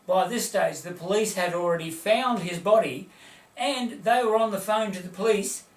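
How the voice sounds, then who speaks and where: A man's voice: high voice, moderate pace. A man co-hosting a casual comedic chat, telling stories with frequent chuckles and dry quips. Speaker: co-host. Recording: echoey room, heard from a distance.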